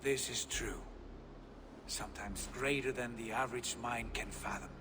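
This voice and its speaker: An actor playing a proud, ambitious Norse warlord in a video game, lordly and declamatory. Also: male voice, mid-range voice, steady tone, normal speed, natural voice. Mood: earnest, confident. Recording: game dialogue over clear ambient noise.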